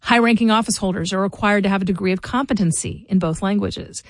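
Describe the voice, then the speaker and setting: A middle-aged woman hosting a local news podcast, reading scripted commentary in a measured, informative way. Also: medium pitch, no room sound, clean studio sound. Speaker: podcast host.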